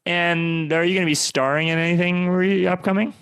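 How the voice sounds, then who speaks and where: A male podcast host speaks with a creaky voice in a dry, close recording. He banters in a playfully grand announcing style. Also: sits high, steady tone, brisk, a bit bright, no room sound. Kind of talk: mock-grand hosting banter.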